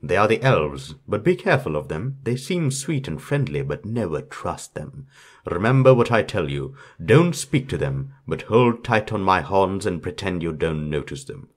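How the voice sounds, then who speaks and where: A man's voice: sits low, very animated, normal speed. A man voicing a protective, stern elk in a fairytale, speaking in a firm, cautioning manner. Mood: warning. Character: protective, stern male elk guiding a princess. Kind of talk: storybook character reading.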